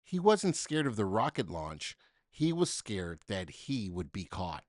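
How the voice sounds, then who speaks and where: A man reading a children's story aloud in an expressive, dramatic way, with deliberate emphasis for young listeners. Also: fairly low pitch, dry recording, studio quality. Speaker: storybook reader.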